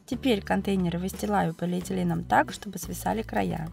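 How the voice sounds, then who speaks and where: A woman with a cold, narrating a cooking video as its host, explaining each step calmly and methodically. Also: mid-range voice, a little fast, vocal fry, soft background music. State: has a cold, voice affected. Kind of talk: calm recipe voice-over narration.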